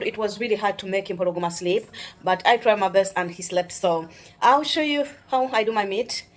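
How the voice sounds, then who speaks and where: A young Ugandan woman hosting a casual cooking vlog in her home kitchen, chatting her way through each step and often correcting herself mid-sentence. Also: mid-range voice. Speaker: vlogger.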